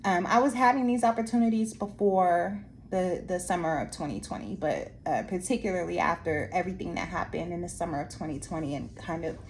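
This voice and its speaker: A woman who trains art teachers, losing her voice and sounding hoarse, talking slowly with many restarts. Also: on the low side, a little hiss or hum. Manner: slow, halting, many restarts and fillers. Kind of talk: reflective casual monologue to viewers. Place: Anchorage area after workshops.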